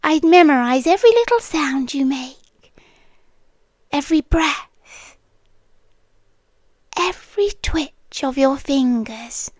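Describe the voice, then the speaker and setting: A woman playing a possessive, devoted yandere girlfriend with a British accent, speaking softly and deliberately in an intimate ASMR roleplay, often slipping into whispers. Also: high voice, expressive, dry recording. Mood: tender, devoted. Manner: slow, deliberate, lingering pauses. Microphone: close microphone, dry sound.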